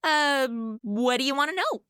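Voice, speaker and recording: A woman's voice: high-pitched, lively intonation, measured pace, sharp tone, smooth, dry recording, clean studio sound, exaggerated voice. A woman playing a worldly, sardonic young influencer with slangy modern delivery in a comic audio drama. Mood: flustered, sassy.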